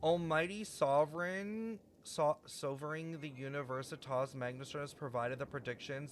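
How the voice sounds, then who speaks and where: A man livestreaming a game, reading its text aloud and thinking through it in an animated, puzzled way. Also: medium pitch, slight background noise. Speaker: game streamer. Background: game music.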